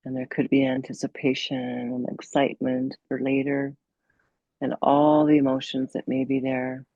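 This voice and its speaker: A woman meditation coach leading a guided meditation in a soothing, unhurried, gently instructive manner with long pauses. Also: rumbling low, completely flat delivery, no room sound, highs cut off.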